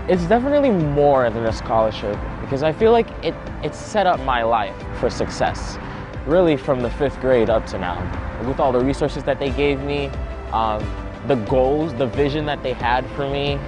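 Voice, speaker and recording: A young man in his twenties, from Haiti, speaking earnestly and reflectively in a documentary feature. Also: mid-range voice. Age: 20s. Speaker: scholarship student in a feature. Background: music bed.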